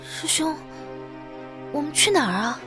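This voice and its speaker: A gentle young junior sister in a fantasy audio drama. Her voice is high and girlish, eager and trusting, over soft background music. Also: big pitch swings, unhurried, full character voice. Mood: eager, worried. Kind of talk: Chinese audio drama acting.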